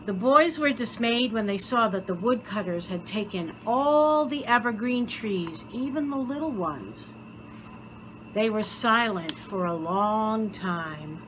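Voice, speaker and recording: Slow, bright-voiced woman reading a story. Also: mid-range voice, animated, slight background noise, rough recording. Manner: theatrical, deliberate, dramatic pauses. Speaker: storyteller reading a children's book aloud. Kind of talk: expressive scripted story narration.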